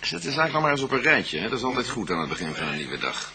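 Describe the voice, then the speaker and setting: A man playing a thoughtful, matter-of-fact police detective in a radio play, setting out facts deliberately. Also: medium pitch, big pitch swings, a crowd in the background. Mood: methodical. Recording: noisy old radio play recording.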